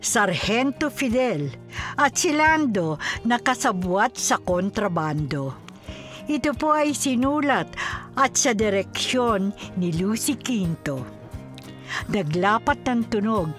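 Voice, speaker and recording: A woman announces a radio drama in scripted style. Her delivery is slow and very melodic, with light music behind her. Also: fairly high pitch, big pitch swings. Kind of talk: scripted narration, reading credits.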